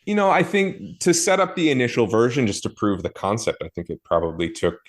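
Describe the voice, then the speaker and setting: A man's voice: mid-range voice, lively intonation, fairly quick. A man talking casually as a podcast guest, explaining his work thoughtfully with frequent fillers and self-corrections. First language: not Portuguese. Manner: thoughtful, many fillers and restarts. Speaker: guest, e-commerce marketer.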